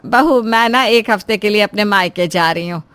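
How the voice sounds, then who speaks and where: A woman's voice: medium pitch, moderate pace, fairly bright tone, no echo, lightly acted. A woman acts a middle-aged mother-in-law in a storybook drama. She is concerned and matronly, watchful over the family. The sound is close and dry. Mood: instructing. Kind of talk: moral story voice acting.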